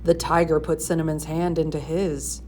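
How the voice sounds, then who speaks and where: Woman reading a story aloud, lowish voice. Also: little pitch movement, moderate pace, dark tone, a little hiss or hum. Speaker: storybook reader. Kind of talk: expressive read-aloud narration.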